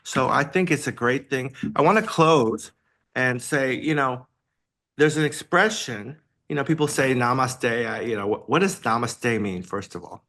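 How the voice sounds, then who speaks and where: A man's voice: medium pitch, normal speed. Enthusiastic man hosting an interview. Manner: warm, enthusiastic, laughs often. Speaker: podcast host. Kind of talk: casual interview chat.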